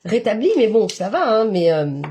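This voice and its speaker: A woman's voice: mid-range voice, very animated, normal speed, dark tone, slight background noise. A woman with a head cold, talking nasally as she walks viewers through a papercraft project step by step, with many fillers. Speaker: papercraft instructor. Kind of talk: live-stream craft tutorial.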